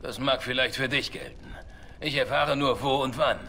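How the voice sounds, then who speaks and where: A flirtatious outlaw elf in a fantasy game. His voice is bright and sharp at a middle pitch, dry and wry, with faint noise behind it. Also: fairly even intonation, normal speed, creaky. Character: roguish elf man, cynical, flirtatious outlaw. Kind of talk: dramatic video game dialogue.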